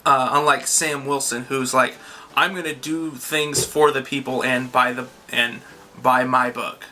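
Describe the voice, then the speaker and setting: A man co-hosting a casual conversational movie review, thinking out loud and rephrasing as he goes. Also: mid-range voice, steady tone, measured pace, slight background noise. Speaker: co-host of a movie review show.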